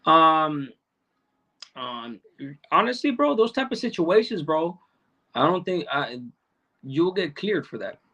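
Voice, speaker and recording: A man's voice: fairly high pitch, sing-song, slow, pauses often, bright, forceful tone, lo-fi sound. A middle-aged man, chatting casually on a livestream in streetwise slang and heavy swearing, often repeating himself. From Salvadoran, raised in Chicano neighborhoods. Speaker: livestream host answering chat.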